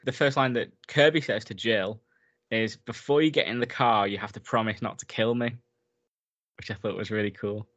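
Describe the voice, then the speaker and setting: A man's voice: lowish voice, moderate pace, a bit bright, dull audio. A man in a casual film recap chat, enthusiastic and talkative, laughing often as he goes. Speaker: podcast co-host.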